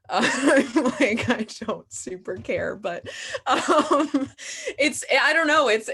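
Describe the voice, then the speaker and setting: A young woman with a slightly low voice speaks as a guest artist at a casual Q&A. She is candid and self-deprecating, and laughter often slips into what she says. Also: fairly bright tone. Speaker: guest playwright and performer. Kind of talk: casual audience Q&A.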